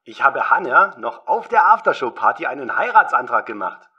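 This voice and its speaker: A man playing a gruff, jovial, crude older film director in an audio drama parody. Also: mid-range voice, big pitch swings, moderate pace, highs cut off. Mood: gleeful. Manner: boisterous, crude, bluntly teasing.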